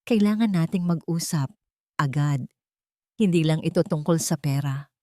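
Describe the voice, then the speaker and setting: A woman voicing a loyal, serious, worried head nurse in dramatic story dialogue, speaking respectfully and gravely. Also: low voice, normal speed, mellow, no room sound, studio quality, natural voice. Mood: anxious, urgent.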